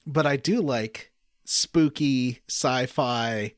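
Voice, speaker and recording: A man's voice: medium pitch, very slow, dry recording, studio quality. A man hosting a casual podcast, recapping a video game in a rambling, enthusiastic way. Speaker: podcast host.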